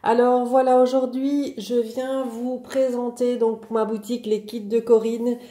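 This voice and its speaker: A woman with a head cold talks through new paper collections for her online craft shop, chatty and full of fillers. Her voice is very dark and mellow. The room adds a noticeable echo. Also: on the high side, flat delivery, measured pace. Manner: chatty, many "euh" and "donc" fillers. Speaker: online craft shop owner presenting products. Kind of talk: casual product showcase.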